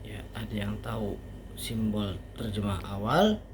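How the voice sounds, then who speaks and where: Slow-paced man teaching informally. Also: fairly low pitch. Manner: halting, step-by-step explaining with casual asides. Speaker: Japanese language teacher. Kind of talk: informal online grammar lesson. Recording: faint background noise.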